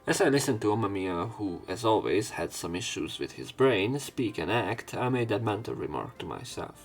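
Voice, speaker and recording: A man's voice: on the low side, expressive, normal speed, soft background music. A young man hosting a let's play, casually commenting and reading narration aloud in a rambling, hesitant way.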